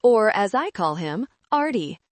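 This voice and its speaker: A woman voicing a calm, smug man in a story, teasing and self-assured. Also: medium pitch, a little slow, dry recording. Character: calm, smug, grinning male partner.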